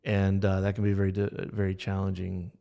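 A man's voice: deep voice, monotone, fast, creaky, warm tone. A man conducting a conversational interview, affirming and somewhat hesitant as he rephrases his questions. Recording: long reverberant hall echo.

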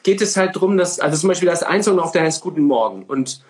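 A man's voice: fairly high pitch, expressive, fast talker, a little mellow. A talkative man chatting enthusiastically as a guest in an interview, with many fillers. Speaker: singer and coach, interview guest. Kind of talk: casual interview chat.

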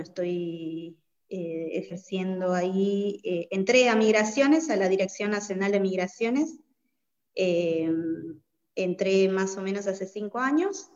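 A dull, lo-fi recording of a woman from Bolivia with a mid-pitched voice. She speaks as an interview guest in a conversational, explanatory way, often adding small tag questions. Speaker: podcast guest, migration social worker.

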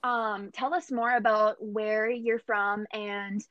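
A woman's voice: medium pitch, flat delivery, takes it slowly, no echo, muffled recording. An American woman co-hosting a podcast, asking questions in an informal, encouraging, casual way. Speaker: podcast host. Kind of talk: casual chat.

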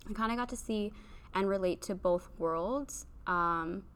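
A woman's voice: mid-range voice, a little slow, a bit bright, smooth. A young woman from Canada, a podcast guest, talking openly and reflectively in a casual interview, laughing easily.

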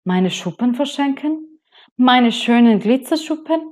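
A woman's voice: on the low side, slow. Woman doing a proud rainbow fish. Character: proud beautiful fish, vain then kind.

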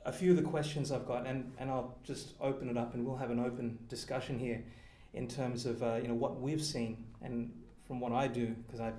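A man's voice: mid-range voice, little pitch movement, brisk, few pauses, mellow, roomy sound. A man hosting a panel discussion, speaking loosely with many fillers. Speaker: host, discussion facilitator. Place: meeting room.

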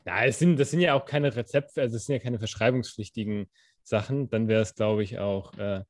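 A man's voice: fairly low pitch, a little fast, a little mellow. A young man co-hosting a podcast, talking in a loose, rambling way with many fillers and restarts. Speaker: podcast co-host. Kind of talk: casual chat.

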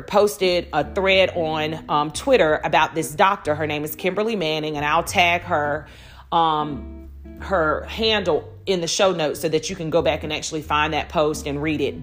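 A middle-aged woman hosting a solo podcast, talking conversationally and telling stories in a chatty, animated way with quoted asides. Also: on the low side. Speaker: podcast host.

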